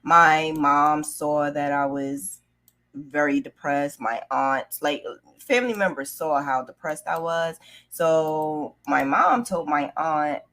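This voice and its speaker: A middle-aged woman with a low-pitched voice shares a personal story. She talks casually and candidly, as in a conversation, slipping into bits of remembered dialogue. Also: takes it slowly, fairly bright tone. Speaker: vlogger telling her own story.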